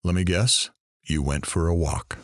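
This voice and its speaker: A man's voice: low voice, steady tone, measured pace, no echo, studio quality. A man playing a sympathetic show host in an audio drama, attentively drawing out someone's story with questions. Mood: curious, sympathetic. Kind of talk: audio drama acting, interview.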